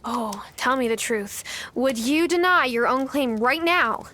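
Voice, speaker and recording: A high, very animated voice plays a young woman new to island farm life. She is friendly and curious, with a light teasing touch, in cozy video game style. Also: unhurried, heavily acted.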